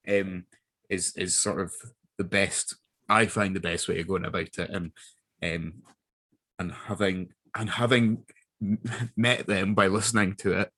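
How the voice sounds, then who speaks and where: Thoughtful, low-voiced man in interview. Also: fairly bright tone. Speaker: voice actor. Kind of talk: casual, reflective interview talk.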